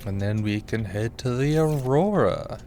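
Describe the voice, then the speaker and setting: Slow-talking, slightly scratchy male game streamer. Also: medium pitch, animated, mellow. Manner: rambling, muttering to himself. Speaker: small gaming streamer. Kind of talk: casual gameplay commentary, thinking aloud. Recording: music behind the voice. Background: game music.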